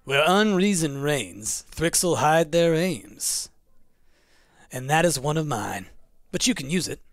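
Guy doing an eerie proud mothman, hushed and low, formal old-timey riddle talk, super dry close recording. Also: very animated, no echo, exaggerated voice. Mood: cryptic, measured. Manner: formal, archaic, measured, riddling speech. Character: eerie, proud half-man moth creature, verbose and cryptic.

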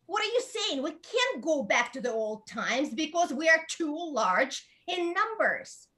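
Indignant villager, played by a woman, bright forceful voice, slow emphatic delivery, stage reading. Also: high-pitched, big pitch swings, heavily acted. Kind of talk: dramatic play reading.

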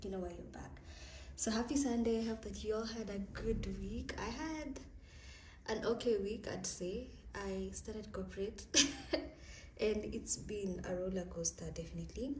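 A young Kenyan woman with a raspy morning voice rambles through a relaxed vlog in her kitchen, often sinking into a soft near-whisper. The room bounces her voice back with a clear echo, and a faint background hiss sits under it. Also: mid-range voice, normal speed. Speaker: vlogger. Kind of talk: casual vlog chat.

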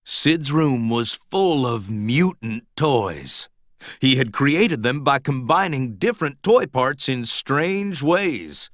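A man reading a children's storybook aloud in an expressive, dramatic, emphatic storytelling manner. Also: medium pitch, slow pace, dry recording, clean studio sound. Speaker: storybook narrator.

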